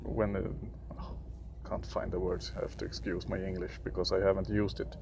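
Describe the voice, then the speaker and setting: A young man from Sweden with a Swedish accent, for whom English is a third language, giving casual gameplay commentary in a halting way, often searching for words. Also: low voice, little pitch movement, a little hiss or hum. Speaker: gaming video creator.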